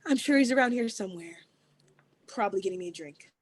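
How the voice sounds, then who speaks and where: Defensive young woman, animated pitch played by a woman. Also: fast, breathy edge. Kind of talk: drama table read.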